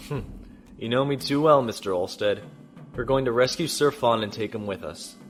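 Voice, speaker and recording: A man playing a calm, shrewd starship captain in a science fiction audio drama, speaking in a measured, deliberate way. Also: mid-range voice, soft background music, lightly acted. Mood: resolute.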